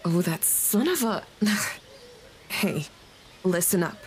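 A woman's voice: lowish voice, lively intonation, slow, a little hiss or hum. A woman playing a hardcore, no-nonsense, big-hearted single mother in a comedy audio drama, blunt and protective. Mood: indignant.

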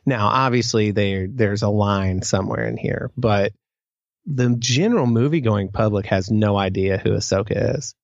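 A man's voice: fairly low pitch, moderate pace, vocal fry, no echo, lo-fi sound. A man co-hosting a podcast in casual fan discussion, thinking aloud and hedging as he goes. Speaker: podcast co-host.

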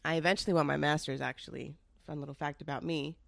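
A woman's voice: low-pitched, steady tone, fast, gravelly, a bit bright, no echo, studio quality. A young woman hosting her own podcast in a chatty, rambling way, full of asides and the odd curse, sounding a little nasal from a cold. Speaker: podcast host. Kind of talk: casual solo podcast chat.

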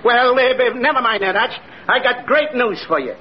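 Small-time con man, extremely high male voice, glib and over-friendly, vintage radio comedy, muffled sound. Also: lively intonation, normal speed. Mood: falsely encouraging. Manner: glib, hustling patter. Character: fast-talking small-time male swindler, falsely chummy. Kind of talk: 1940s-50s radio comedy acting. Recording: muffled old radio with clear noise.

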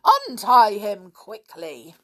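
A woman's voice: medium pitch, huge pitch swings, takes it slowly, pitched above the performer's own voice, exaggerated voice, rough recording. A woman voicing a fierce, hot-tempered stepfather in a storybook, barking indignant commands. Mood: outraged.

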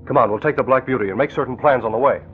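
An actor playing a composed, shrewd male newspaper boss in a 1940s radio drama, measured and authoritative. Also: medium pitch, music in the background, close to an everyday voice. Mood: thoughtful, decisive. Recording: muffled, tinny old radio recording.

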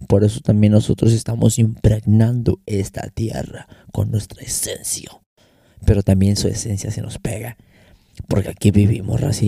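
Slow gravelly Mexican man, dark voice. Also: medium pitch, no echo, studio quality. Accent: Mexican Spanish with American English. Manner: loose, slangy, switching between Spanish and English. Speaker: podcast host. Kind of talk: casual solo podcast monologue. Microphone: close podcast microphone.

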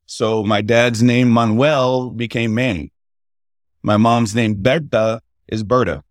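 A man's voice: mid-range voice, steady tone, no echo, studio quality. Middle-aged man telling family stories. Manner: halting, with many fillers and restarts. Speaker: guest. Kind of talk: casual interview storytelling.

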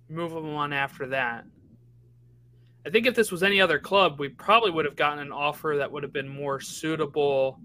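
A man's voice: a little high, pushed and bright, slight background noise. A man co-hosting a podcast, talking soccer in a casual, conversational way and often checking in with "right?". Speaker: podcast co-host.

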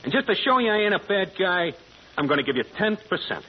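Cocky American gangster, swooping delivery. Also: male voice, sits high, sing-song, fast. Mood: gloating. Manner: slangy tough-guy talk, taunting. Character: brash bullying male gangster boss, menacing and cocky. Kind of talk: 1940s radio crime drama acting. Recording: muffled, noisy old radio broadcast.

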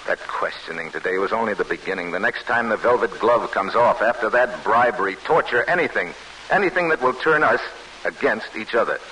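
A deep-voiced, grave soldier. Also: male voice, animated, a little fast, pushed and bright, low-quality recording. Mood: urgent, guarded. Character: grave, guarded Polish soldier recounting his wartime escape. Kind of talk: old-time radio drama acting and narration. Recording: hissy vintage radio broadcast.

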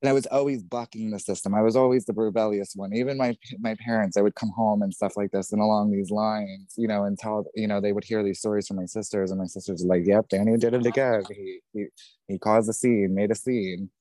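A man from New Jersey with a New Jersey accent, a podcast guest telling his story candidly, outspoken and animated, swearing freely. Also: lowish voice, brisk, no echo, rough recording. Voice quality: says he has a feminine voice.

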